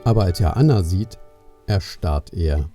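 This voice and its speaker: A man narrating a scripted children's story in a measured way, speaking directly to the young listener. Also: sits low, lively intonation. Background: soft plucked guitar music.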